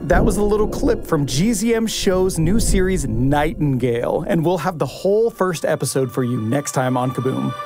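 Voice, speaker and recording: Higher-voiced male podcast co-host over music. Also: expressive, normal speed, few pauses. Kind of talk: conversational scripted hosting. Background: music bed.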